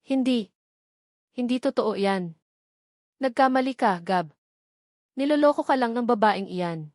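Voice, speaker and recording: A woman's voice: on the high side, animated, a little slow, a little mellow, dry recording, studio quality. Defensive scheming young fiancée, acted by a woman. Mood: defensive, fearful. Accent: Filipino. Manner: defensive, feigning innocence.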